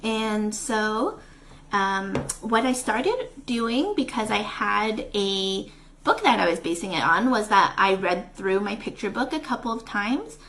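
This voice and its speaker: A woman presenting an informal step-by-step craft tutorial, talking in a conversational, hesitant and halting way. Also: mid-range voice, lively intonation, fairly bright tone, slight background noise.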